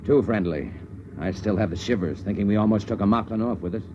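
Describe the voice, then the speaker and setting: Uneasy American spaceman played by a male actor, low voice, vintage radio sci-fi, muffled with faint hiss. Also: fast, mellow, lightly acted. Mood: uneasy, relieved. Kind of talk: 1950s radio drama acting. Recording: dull, noisy old radio recording.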